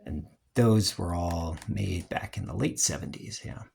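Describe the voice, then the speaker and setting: A collector giving a casual, unscripted review in a hesitant, rambling way, often trailing off and clearing the throat, with a husky, creaky voice. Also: sits low, animated, slow, mellow, slight background noise. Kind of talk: casual unscripted show-and-tell.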